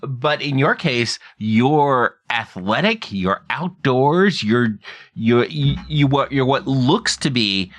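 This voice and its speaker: A man hosting an interview, asking measured questions and explaining medical terms thoughtfully. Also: medium pitch, lively intonation, fairly bright tone. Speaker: podcast host and stroke survivor.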